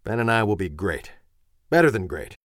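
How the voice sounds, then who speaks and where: A man's voice: fairly low pitch, big pitch swings, normal speed, a bit bright, no echo, clean studio sound. A man voicing an irritated middle-aged wife who speaks with cutting sarcasm. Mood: sarcastic.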